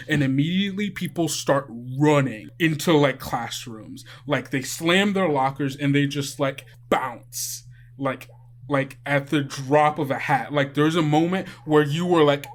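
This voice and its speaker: A man running a tabletop game as game master, narrating off the cuff in a casual, halting, colloquial way. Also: on the high side. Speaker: game master and podcast host.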